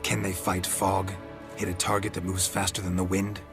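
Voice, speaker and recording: An actor playing a weary, pragmatic monster hunter in a video game, speaking in terse, matter-of-fact sentences. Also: male voice, low voice, flat delivery, moderate pace. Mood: grim, explaining. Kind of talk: video game cutscene acting. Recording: dramatic music behind.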